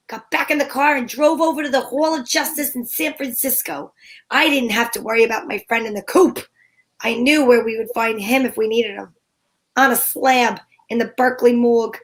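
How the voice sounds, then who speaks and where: Woman playing a high-voiced, wisecracking lady private eye. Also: moderate pace, a bit bright. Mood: wry narrating. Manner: wry, slangy hardboiled delivery. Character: wisecracking female private eye, cheeky and flirtatious, narrating her case. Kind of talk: old-time detective radio drama.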